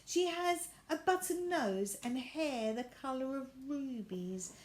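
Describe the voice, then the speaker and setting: A woman's voice: high voice, lively intonation, takes it slowly, pitched above the performer's own voice. A woman voicing a pert, easily bored little rag doll girl in a storybook, with plaintive, exclaiming delivery. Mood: pleased, curious.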